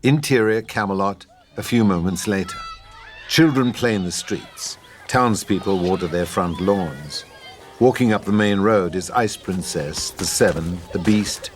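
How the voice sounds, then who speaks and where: A man reading scripted narration and stage directions as the narrator of an audio drama. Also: sits low.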